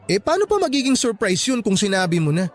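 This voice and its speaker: A man acting an encouraging, teasing young college graduate with an earnest, animated delivery in a radio drama. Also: high voice, quick pace, soft background music. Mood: playful. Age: young adult.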